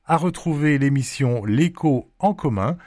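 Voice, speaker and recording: Lively male radio announcer. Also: mid-range voice, measured pace. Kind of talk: station announcement.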